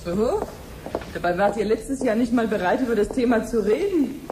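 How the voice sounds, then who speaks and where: An actress playing an obliging clinic researcher in a 1970s radio play, explaining things patiently and conversationally. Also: female voice, on the high side, expressive, normal speed, background noise, close to an everyday voice. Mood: friendly, chatty. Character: chatty, obliging female clinic researcher showing her sister around.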